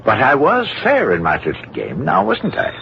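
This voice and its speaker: Fussy polite rich guy, super swoopy voice, flattering, dull old radio recording. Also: medium pitch, moderate pace, gravelly, noisy background. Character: prissy, polite, excitable wealthy male gentleman fugitive. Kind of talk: old-time radio drama acting.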